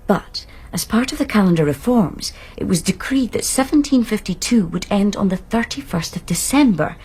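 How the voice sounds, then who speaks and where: Radio drama: knowledgeable female scholar, didactic, exact, medium pitch, lightly husky. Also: animated, normal speed, darkish tone. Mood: patient, explaining.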